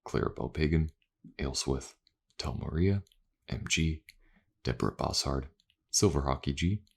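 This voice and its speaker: A man with a deep, creaky voice talks as the host of his channel. He is chatty and informal, rambling a little as he addresses listeners directly. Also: moderate pace. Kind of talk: casual outro to listeners.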